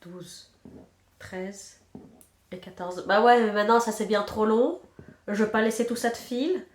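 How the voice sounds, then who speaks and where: A woman's voice: medium pitch, a little slow, reverberant. A woman in her thirties with a stuffy nose from a cold, chatting casually and self-mockingly as she knits at home. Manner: chatty and self-mocking, trails off counting stitches. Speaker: knitting podcast host. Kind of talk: casual chat while knitting.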